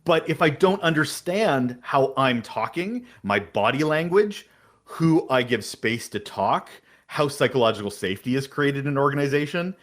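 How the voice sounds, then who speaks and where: An outspoken man answering interview questions on a podcast. His voice is mid-pitched and carries, and he talks with energetic emphasis. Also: normal speed. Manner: energetic, emphatic, talks about having a big voice. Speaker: podcast guest.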